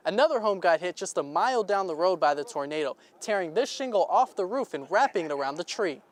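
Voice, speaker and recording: A man's voice: sits high, lively intonation, fast. A man working as a television news reporter, delivering scripted reports and stand-ups on location.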